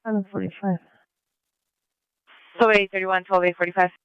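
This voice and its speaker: A high-voiced male police dispatcher with a very clean, smooth tone. He reads codes and numbers in a clipped radio style, dry and close through a slightly dull scanner signal. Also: level tone, moderate pace, fairly bright tone, no echo.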